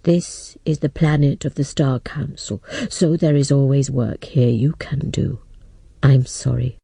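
A woman putting on an old leader, lowered voice, gravelly, mellow, slow and regretful. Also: level tone, dry recording, exaggerated voice. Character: solemn old man, a weary apologetic leader.

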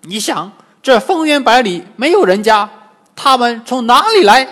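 A man's voice: very high voice, very animated, moderate pace, a bit bright, voice pushed up, full character voice. A man voicing the Monkey King, a brash, indignant monkey disciple in a storybook tale, urgent and emphatic, with long reverb. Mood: urgent protest.

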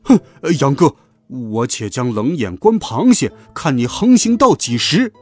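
A man's voice: medium pitch, very animated, measured pace, husky. A man voicing a jeering, easily riled schoolboy rival in a children's audiobook, with a sneering, exaggerated delivery. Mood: indignant. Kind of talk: children's audiobook character acting.

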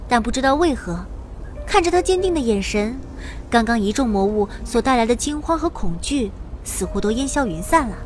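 A woman's voice: a little high, lively intonation, moderate pace, slight background noise, lightly acted. A woman playing a cheeky, money-loving, boastful young mage who also narrates a fantasy audio drama. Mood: narrating adventure. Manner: playful, bouncy, boastful. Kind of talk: audio drama acting with first-person narration. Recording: background music under the voice.

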